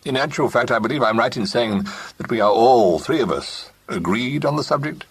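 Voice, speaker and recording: A man's voice: mid-range voice, lively intonation, normal speed, breathy edge, voice pushed up. A man voicing a pompous older businessman who talks ponderously with a false heartiness. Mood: sympathetic. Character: pompous older male company partner.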